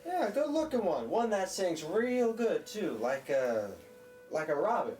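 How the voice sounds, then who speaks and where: Woman as smitten 1940s teenage boy, low voice, swooping intonation, bashful teasing, radio drama. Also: big pitch swings, unhurried, darkish tone. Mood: bashful, devoted. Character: earnest, smitten, teasing young sweetheart boy, 1940s America. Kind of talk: radio play acting. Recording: roomy, distant mic, faint music.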